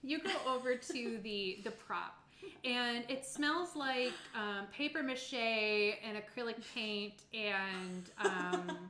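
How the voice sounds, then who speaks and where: A woman's voice: a little high, slow pace, sharp tone, a little hiss or hum. A woman narrating as game master of a tabletop roleplaying game in a casual, improvised, easygoing way. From Canada. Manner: giggly, easygoing, conversational. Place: indoor room with other players. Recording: roomy, echoing room sound.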